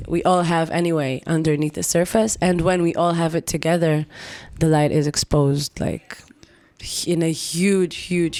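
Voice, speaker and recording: A woman's voice: lowish voice, no echo. Woman, dry and close. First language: Hebrew. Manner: expressive, searching for words, laughs easily. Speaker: singer-songwriter guest. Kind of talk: reflective podcast conversation.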